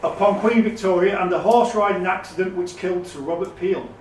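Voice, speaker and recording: High-voiced male quizmaster in an echoey room. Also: fairly quick, a little mellow. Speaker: quizmaster reading questions. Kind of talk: reading quiz questions aloud. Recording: echoey room, distant mic, faint noise.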